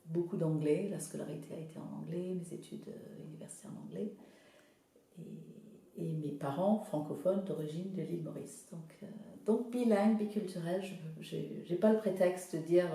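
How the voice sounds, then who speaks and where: A woman from Zimbabwe whose first language is English, an art therapist speaking hesitantly in a casual interview, often pausing to search for words. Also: on the low side, gravelly, dark tone, very echoey. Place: studio workshop.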